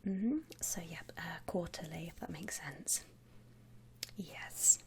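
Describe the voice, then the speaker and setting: A woman voicing a friendly, attentive vampire saleswoman in a gentle, breathy ASMR whisper, close to the mic. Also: on the low side. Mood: helpful, soothing. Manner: gentle, reassuring, chatty customer-service talk with pauses. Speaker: ASMR roleplay performer. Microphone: close ASMR microphone.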